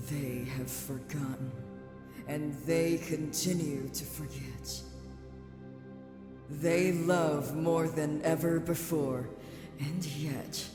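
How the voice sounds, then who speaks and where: Proud, slow-spoken goddess, cavernous echo. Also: female voice, deep voice. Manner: grand, theatrical, slow-spoken. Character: proud, bitter goddess of love, scornful and unyielding. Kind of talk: visual novel voice acting. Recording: cavernous echoing hall reverb under loud music.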